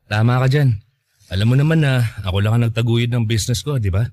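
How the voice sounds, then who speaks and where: A man playing a kind, gently joking young businessman in a Filipino radio drama. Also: mid-range voice, fast, no room sound, close to an everyday voice. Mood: friendly, joking.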